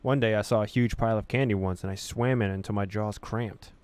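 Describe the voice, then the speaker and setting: A man's voice: lowish voice, steady tone, fast talker, darkish tone, clean tone. A man hosting a gaming video, reading on-screen story text aloud in a dramatic way with casual reactions.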